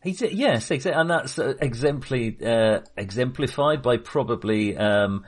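A man's voice: medium pitch, animated, moderate pace. A man co-hosting a film podcast, talking casually in a tentative, self-qualifying, agreeable way.

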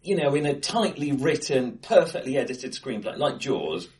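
A man co-hosting a casual film discussion, recorded face to face in an acoustically bright room. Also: medium pitch, big pitch swings, moderate pace. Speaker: podcast co-host.

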